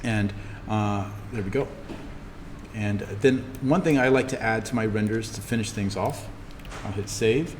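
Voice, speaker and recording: Guy teaching a software class, casual thinking-out-loud walkthrough, echoey room w some faint background noise. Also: lowish voice, unhurried. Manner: informal, easygoing step-by-step explaining. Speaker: instructor teaching a 3D animation class. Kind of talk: casual live software tutorial, thinking aloud while demonstrating. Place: indoors, echoing classroom.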